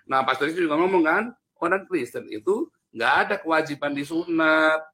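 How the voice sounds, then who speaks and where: A man's voice: on the high side, moderate pace, bright, forceful tone. A man arguing as a pastor in a heated religious debate, insistent, blunt and informal. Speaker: pastor on a panel.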